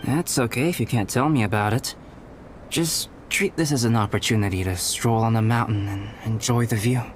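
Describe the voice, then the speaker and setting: A man voicing a calm, aloof young artist-scholar in an anime fantasy game, speaking in a measured, formal, articulate way. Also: mid-range voice, background noise, lightly acted. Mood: composed. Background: game music.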